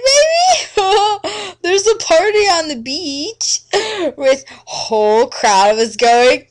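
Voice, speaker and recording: A woman's voice: very high voice, pitched above the performer's own voice, heavily acted. A woman voicing a nervous, lovestruck teenage boy in a fanfiction reading, whiny, giggly and pleading.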